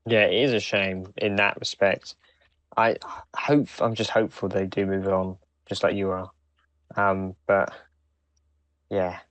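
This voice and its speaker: A man co-hosting a podcast, talking casually in a hesitant, halting way with repeated starts. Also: deep voice, flat delivery, fairly bright tone, dry recording. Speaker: podcast co-host. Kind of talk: casual chat.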